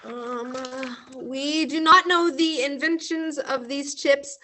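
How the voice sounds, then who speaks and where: A woman's voice: high-pitched, fairly even intonation, slow, sharp tone, slight background noise, full character voice. A woman playing a blundering female army general in a school radio play, speaking stiffly and tripping over words. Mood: pompous.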